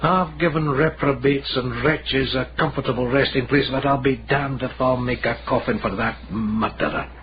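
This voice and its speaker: A dull, faintly noisy radio drama recording of an actor as a Scottish coffin maker. He is plain-spoken and grim, a period tradesman with a mid-pitched voice. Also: moderate pace, hardly any pauses, lightly acted. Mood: bitter, resolute.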